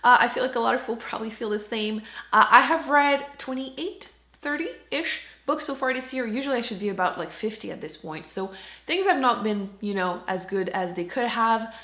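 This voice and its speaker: A woman's voice: on the high side, animated, normal speed, bright, forceful tone. A woman talking casually to camera as a book reviewer, chatty and informal, putting emphasis on key words. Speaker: book reviewer and channel host. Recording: roomy indoor echo.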